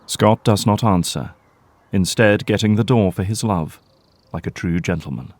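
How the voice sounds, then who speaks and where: A man narrating an audio drama from a script in a measured, dramatic storytelling manner. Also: lowish voice, darkish tone, lightly acted.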